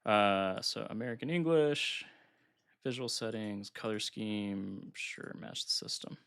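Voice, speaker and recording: A man's voice: lowish voice, unhurried, vocal fry, clean tone. A man hosting a casual live stream, a bit nasal as he recovers from being sick, talking himself through setup at his computer. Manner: relaxed, thinking aloud while setting up software. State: recovering from illness, slightly congested.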